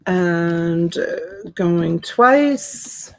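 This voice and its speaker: A woman's voice: fairly low pitch, lively intonation, drawn-out words, gravelly. A woman in her forties with a slight Boston accent, hosting a casual live-stream auction from home and greeting viewers by name with endearments. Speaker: live-stream seller and host. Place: home room with shelves. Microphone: desk USB mic.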